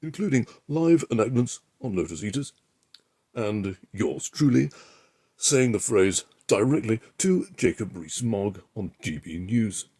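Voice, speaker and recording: A man with a lowish, rough-edged voice reads a political essay aloud, putting on the air of a pompous pundit. His phrasing is deliberate, with weighty pauses for emphasis. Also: expressive, dark tone. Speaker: amateur reader of a political article. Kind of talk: reading an opinion essay aloud in an impression of a pundit.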